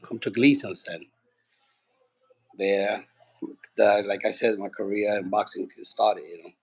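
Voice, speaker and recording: Gravelly-voiced boxing trainer from Puerto Rico. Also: male voice, fairly low pitch, measured pace, rough recording. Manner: relaxed, rambling storytelling with many fillers. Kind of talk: casual interview.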